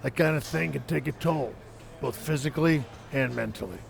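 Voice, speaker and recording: A man voicing a sympathetic wrestling mentor with a gravelly voice in a video game cutscene. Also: mid-range voice, moderate pace, a little hiss or hum. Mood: concerned.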